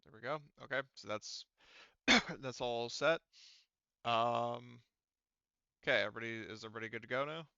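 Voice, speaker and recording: A man speaking as game master, improvising tabletop game narration in a casual, halting, rambling way and often hedging. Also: mid-range voice, creaky, sharp tone, clear, resonant tone, no echo, dull audio.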